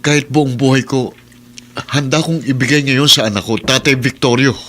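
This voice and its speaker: A man's voice: mid-range voice, fairly even intonation, moderate pace, lightly acted. An actor playing an anguished, devoted lover and father in a radio drama, speaking earnestly and emotionally. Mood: earnest, distressed. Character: anguished man in love, fiercely devoted new-found father. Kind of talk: Tagalog radio drama acting.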